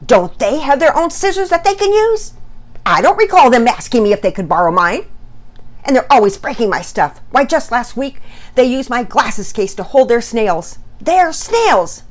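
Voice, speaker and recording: A woman's voice: sits low, normal speed, lightly acted. Woman voicing an exasperated, stammering storybook dad. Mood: outraged, flustered. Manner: stammering, stumbling over words. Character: exasperated, flustered father ranting at his kids. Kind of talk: children's story character voice.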